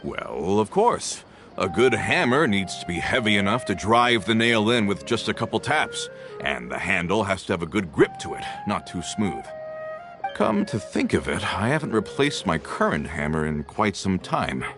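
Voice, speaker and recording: A man's voice: fairly low pitch, sing-song, normal speed, over music. A man playing a weary, earnest, plain-spoken carpenter and family man in a video game. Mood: puzzled, pondering.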